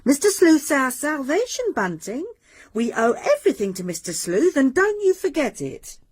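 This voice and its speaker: An actress playing a practical, sharp-tongued married landlady in a radio drama, brisk and scolding. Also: sits high. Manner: brisk, scolding, lively intonation.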